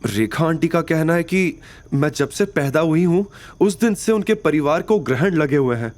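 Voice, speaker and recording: A man's voice: a little high, lively intonation, normal speed, a little mellow, voice pushed up, lightly acted. A man voicing an emotional, wronged young woman in an audio drama, pleading and bitter. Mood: sad, resigned.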